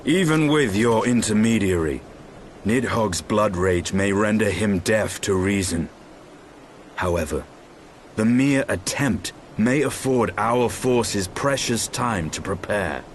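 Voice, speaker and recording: An actor playing a grim, proud dragoon knight in a fantasy game, speaking formal archaic English in a measured, guarded manner. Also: male voice, lowish voice, expressive, slight background noise. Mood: cool, resolute.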